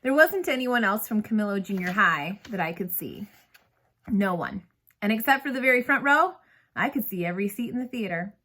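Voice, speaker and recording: A woman narrating a novel aloud in an expressive storytelling manner, putting emphasis on key words. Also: mid-range voice, moderate pace.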